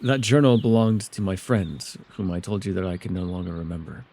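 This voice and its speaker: A man playing a mysterious, soft-spoken elf with a gently teasing, secretive way, in an immersive ASMR roleplay with hesitant, tender asides. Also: low voice, fairly quick, husky. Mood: flustered, guarded.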